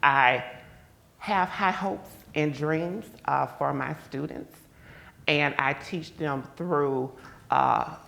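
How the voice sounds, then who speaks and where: A woman with a strikingly low, deeply gravelly voice, speaking earnestly from a stage panel. She talks with many small pauses and fillers. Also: fairly bright tone. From Memphis, Tennessee. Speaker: panelist, second grade teacher. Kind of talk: panel self-introduction. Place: stage in a museum hall.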